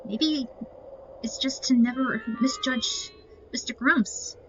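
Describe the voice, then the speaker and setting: A woman's voice: sits high, slow pace, over music. A woman giving casual commentary on a game playthrough, explaining things to viewers as she goes. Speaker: gamer narrating a playthrough. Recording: muffled lo-fi mic with clear noise.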